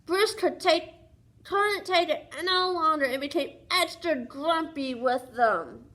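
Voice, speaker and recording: A woman's voice: sits high, slow pace, fairly bright tone. A woman reading a picture book aloud to young children as a teacher would, sing-song and often prompting them with questions.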